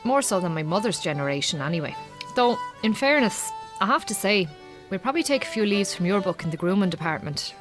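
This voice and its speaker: An actress playing a chatty, kindly middle-aged Irish farmer's wife in a radio drama, with an Irish accent and a frank, colloquial manner. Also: female voice, medium pitch, expressive, fairly quick, hardly any pauses, over music, natural voice. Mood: frank, explaining. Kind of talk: naturalistic radio drama acting.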